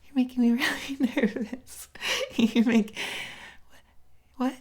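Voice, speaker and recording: A woman's voice: high-pitched, fairly even intonation, slow pace, breathy edge, exaggerated voice. A woman acting a shy, smitten, tipsy date in an intimate roleplay audio, stammering and giggling nervously as she fumbles her words. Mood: flustered, delighted.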